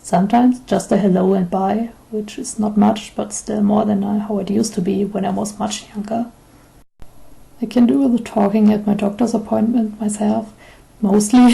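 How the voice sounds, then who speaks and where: A woman's voice: medium pitch, creaky, warm tone, rough recording. A woman in her twenties from Germany, a native German speaker, talking in English in an earnest, reflective personal vlog. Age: 20s. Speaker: vlogger.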